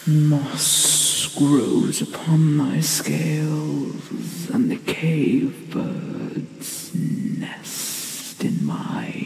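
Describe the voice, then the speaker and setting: A woman voicing a gentle, ancient female dragon teacher in an ASMR roleplay, speaking in a breathy, solemn, formal way. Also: low-pitched, background noise, heavily acted. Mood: weary, musing. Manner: very slow, solemn, measured, formal.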